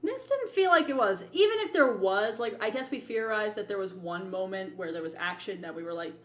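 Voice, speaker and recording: A woman's voice: mid-range voice, lively intonation, a little fast, fairly bright tone. A talkative, opinionated woman co-hosting a podcast, giving informal film commentary in casual conversation. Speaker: podcast co-host reviewing films. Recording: echoey, roomy sound.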